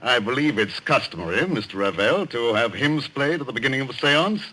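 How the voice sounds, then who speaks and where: An actor playing a confident, mocking gentleman sceptic who gives brisk commands in a husky voice, in 1940s radio style. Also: medium pitch, lively intonation, low-quality recording. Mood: commanding. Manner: commanding, clipped, theatrical.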